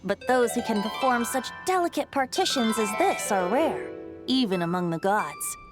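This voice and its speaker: A woman's voice: on the high side, animated, normal speed, music in the background, close to an everyday voice. A woman voicing a lilting bard god. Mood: storytelling. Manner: lilting, theatrical storytelling. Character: playful male bard god, whimsical storyteller.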